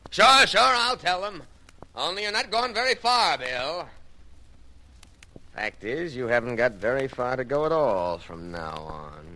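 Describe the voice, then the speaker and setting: An actor playing a blunt, determined logger with a folksy manner in an old-time radio drama. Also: male voice, mid-range voice, sing-song, a little slow. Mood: quietly threatening. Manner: blunt, folksy, menacing understatement. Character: tough, determined young logger, a protective brother. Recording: faintly noisy old radio recording.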